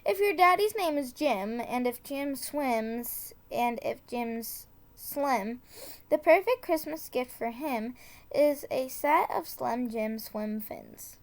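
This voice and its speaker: A woman reading a children's tongue-twister book aloud, deliberately, with casual asides and the odd corrected stumble. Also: high voice, lively intonation. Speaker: storybook reader.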